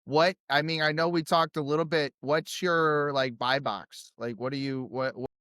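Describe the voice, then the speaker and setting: A man's voice: fairly high pitch, fairly even intonation, moderate pace, fairly bright tone, clear, resonant tone, no room sound, clean studio sound. Upbeat young male podcast host. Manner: enthusiastic, rambling questions with restarts. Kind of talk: podcast interview.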